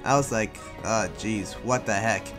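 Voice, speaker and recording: A man's voice: fairly low pitch, a little slow, a bit bright. A man playing a friendly, awkward young demon slayer in improvised game roleplay, talking in a hesitant, rambling, casual way. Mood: casual, teasing. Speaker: roleplayer in a Minecraft anime roleplay. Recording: music playing behind the voice.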